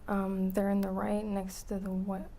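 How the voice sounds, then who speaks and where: An eighteen-year-old woman from Iraq answers from a courtroom witness stand. She is soft-spoken, her replies brief and quiet, in a flat, mellow voice with a faint room hum behind. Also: mid-range voice, moderate pace. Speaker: trial witness.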